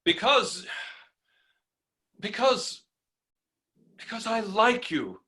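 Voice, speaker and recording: A man playing a high-pitched, blustering lovestruck landowner. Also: very animated, pushed and bright, full character voice. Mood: flustered, pleading. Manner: theatrical, stammering, swings from halting to bellowing. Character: blustering, hot-headed landowner helplessly falling in love. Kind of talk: virtual staged reading of a stage farce.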